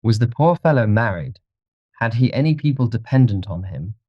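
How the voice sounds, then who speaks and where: Man playing a tense, imperious young gentleman, muffled. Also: fairly low pitch, animated, normal speed, dry recording, pitched above the performer's own voice, lightly acted. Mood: commanding. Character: anxious, imperious young English gentleman with guilty conscience. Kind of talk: audiobook character voice.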